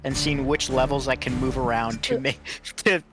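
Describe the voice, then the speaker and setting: A man's voice: medium pitch, fairly even intonation, normal speed, music in the background. A man playing a tabletop game, joking about his own character. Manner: self-deprecating, joking. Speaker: player. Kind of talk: casual tabletop play.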